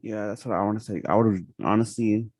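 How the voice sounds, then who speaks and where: Deep-voiced man hosting a rambling interview. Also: fairly even intonation, brisk, darkish tone, no echo, studio quality. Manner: rambling questions with many fillers and restarts. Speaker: podcast host. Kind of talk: casual interview.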